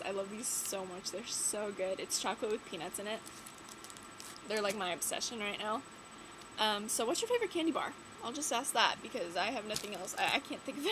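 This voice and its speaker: A teenage girl, chatting casually in a vlog, rambling with lots of "like" and "um", her nose a bit stuffy from a cold. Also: fairly high pitch. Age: late teens. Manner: rambling, many "like" and "um" fillers. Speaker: vlogger. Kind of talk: casual chat to camera. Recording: audible background noise.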